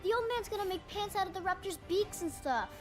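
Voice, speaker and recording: Very high-pitched, imaginative little boy adventurer. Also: lively intonation, fast. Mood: earnest. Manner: earnest, whimsical, childlike storytelling. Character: imaginative, playful seven-year-old boy adventurer. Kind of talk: video game character voice lines. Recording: game clips with music behind.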